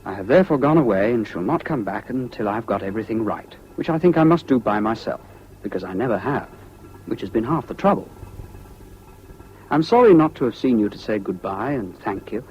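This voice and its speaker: A man's voice: lowish voice, animated, fairly quick, close to an everyday voice. An actor with a British accent playing an earnest, troubled man voicing an apologetic letter in a 1970s radio drama, with precise delivery. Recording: dull, noisy old radio recording.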